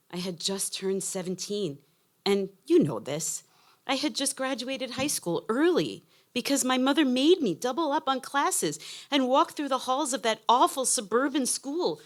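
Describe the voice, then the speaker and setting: Woman in her fifties, lower-pitched, reading expressively. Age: 50s. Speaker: essayist reading own work. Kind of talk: expressive reading of a personal essay.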